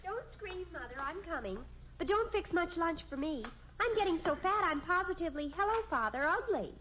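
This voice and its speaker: An actress playing a dramatic, sharp-tongued American teenage daughter in a radio sitcom, speaking emphatically. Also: female voice, extremely high pitch, expressive, a little fast. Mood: dramatic fretting. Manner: overdramatic, emphatic. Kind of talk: 1950s radio sitcom acting. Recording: muffled old radio recording, faint hiss.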